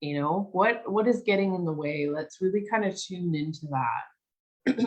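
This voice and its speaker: A woman with a slightly low voice, a little croaky from a lingering cold, leads a spiritual workshop. She talks in a gentle, reflective way, pausing with "um" and restarting phrases as she reads and explains. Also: animated, highs cut off. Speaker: spiritual teacher leading a new moon circle. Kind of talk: casual workshop talk and reading aloud.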